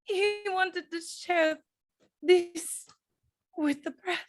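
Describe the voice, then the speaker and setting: A woman's voice: very high voice, very slow, exaggerated voice. A woman acting an earnest, distressed young woman at an action script table read. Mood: grave, urgent.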